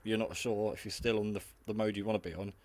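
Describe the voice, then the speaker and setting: A man's voice: lowish voice, fairly even intonation, fast talker, darkish tone. A man giving a casual, hands-on product demonstration in a hesitant, rambling, matter-of-fact way. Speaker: hobbyist radio reviewer. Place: garage.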